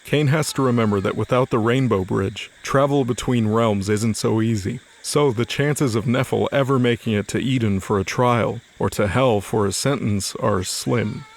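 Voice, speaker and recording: A man's voice: mid-range voice, moderate pace, few pauses. A man giving scripted narration for an audio drama.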